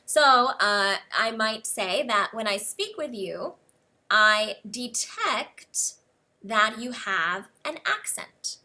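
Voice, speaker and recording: An American woman teaching English one-on-one, explaining words slowly and spelling them out letter by letter. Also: fairly high pitch, animated, sharp tone.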